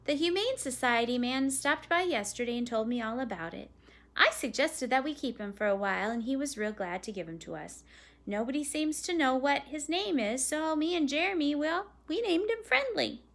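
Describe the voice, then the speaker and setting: A woman's voice: sits high, expressive, normal speed, vocal fry, a bit bright, pitched above the performer's own voice, heavily acted. A woman voicing a friendly, matter-of-fact girl in a storybook, folksy and plain-spoken.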